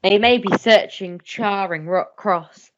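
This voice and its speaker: Elderly major, played by a woman, earnest anguished father for radio melodrama, mid-pitched, declamatory. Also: slow, highs cut off, lightly acted. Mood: resolute. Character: decrepit old military major, anguished, devoted father. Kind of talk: old-fashioned radio melodrama acting.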